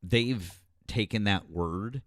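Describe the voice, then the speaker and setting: A man's voice: fairly low pitch, slow, a bit bright, dry recording, studio quality. A man hosting a live political talk stream, blunt and casual. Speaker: host.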